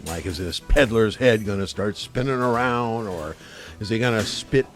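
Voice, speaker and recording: An actor playing a brash, skeptical, wisecracking buddy in a radio play, cajoling in colloquial speech. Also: male voice, fairly low pitch, moderate pace, soft background music, close to an everyday voice. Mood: cocky, joking.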